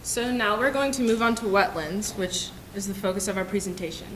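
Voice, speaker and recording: A young woman from Michigan gives a class presentation, setting out each point in order. The lecture room echoes noticeably. A faint rumble sits underneath. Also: brisk, fairly bright tone. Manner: clear, orderly explaining. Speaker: student presenter.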